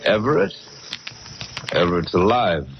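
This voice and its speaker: Low, commanding man unmasking a killer. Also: sing-song, full character voice. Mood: calm, triumphant. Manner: measured, authoritative. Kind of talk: 1940s radio drama acting. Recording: noisy, muffled old radio recording.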